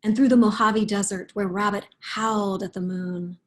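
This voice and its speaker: A woman with a soft, breathy voice, speaking in a thoughtful, unhurried manner as a picture book author. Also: mid-range voice, steady tone. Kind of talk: read-aloud storytelling and reflective interview talk.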